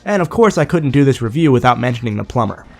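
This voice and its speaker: A young man narrates a scripted game review at a mid pitch. His voice sounds a little nasal and congested as he gets over a sinus infection. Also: very animated, fast, over loud music.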